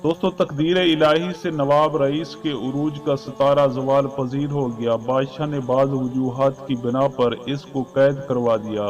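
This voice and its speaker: A man telling a scripted moral story as a host, in a formal, measured way that addresses listeners directly. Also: mid-range voice, darkish tone. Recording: muffled lo-fi voice over music. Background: background music.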